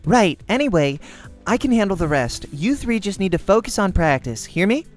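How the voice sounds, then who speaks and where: Blunt young female pro gamer, played by a man, curt and decisive visual novel read, lively pitch, music behind. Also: normal speed. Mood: brisk, bossy. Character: brisk, bossy young woman pro gamer, blunt and ambitious. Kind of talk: amateur visual novel character acting.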